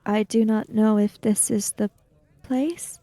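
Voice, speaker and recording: A woman playing a formal, guarded samurai emissary in a tabletop roleplay, with deliberate and precise wording. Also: mid-range voice, steady tone, warm tone, close to an everyday voice. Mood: reserved, measured.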